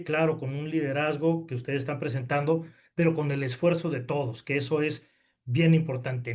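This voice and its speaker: A middle-aged man with a Mexican accent, answering as a podcast guest in a grateful, earnest way. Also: on the high side. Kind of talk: interview answer.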